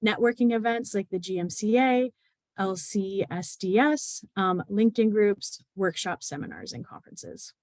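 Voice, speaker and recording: A woman's voice: mid-range voice, moderate pace, no room sound. Woman presenter, mid-range voice, reads bullet lists with ums, dry recording. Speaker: company presenter. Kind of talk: webinar slide presentation.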